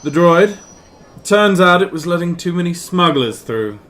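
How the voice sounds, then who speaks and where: A man's voice: high-pitched, expressive, unhurried, a bit bright. A man playing an officious, touchy young Imperial lieutenant in a sci-fi audio drama, clipped and pompous. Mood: sneering, sarcastic.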